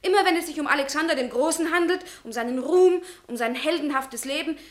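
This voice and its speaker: A woman plays a fiery, romantic young student in a radio play, her voice high and clear. She speaks with fervent, dreamy conviction. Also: brisk, close to an everyday voice. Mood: reproachful.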